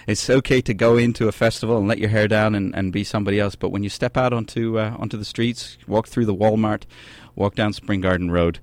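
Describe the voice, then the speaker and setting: A man's voice: lowish voice, fairly even intonation, brisk, few pauses, a little mellow, dry recording. A man hosting a radio studio panel, hesitant and searching for his words. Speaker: radio show host.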